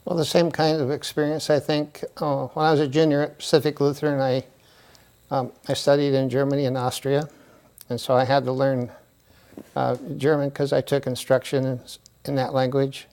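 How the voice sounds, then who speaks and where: A man answering as a volunteer tutor, speaking hesitantly with frequent pauses and restarts. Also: mid-range voice, little pitch movement, a little mellow, clean tone. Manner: hesitant, many fillers and restarts. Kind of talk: interview answers.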